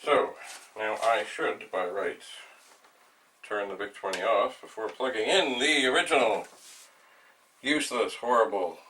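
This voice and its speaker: Older man, slow and halting, distant mic. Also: fairly low pitch, sharp tone. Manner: rambling, thinking aloud, halting. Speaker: vlog host, retro computer hobbyist. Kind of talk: unscripted narration of a hands-on demonstration. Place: small cluttered indoor room at home. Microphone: camera mic a few steps away. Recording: distant mic, noisy room.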